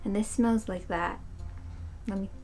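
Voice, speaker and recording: A woman's voice: medium pitch, steady tone, measured pace, a little mellow, soft background music. A young woman chatting casually through a snack taste test, thinking aloud with lots of fillers, recorded on a webcam in a small room. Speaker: vlogger reviewing snacks. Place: small room, seated on a couch.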